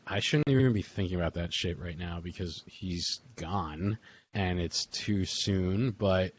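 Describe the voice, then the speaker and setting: A man's voice: low voice, fairly bright tone. A man hosting a casual group call, reminiscing in an informal, rambling way with chuckles between phrases. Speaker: host of a memorial group call. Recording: dull lo-fi online call audio.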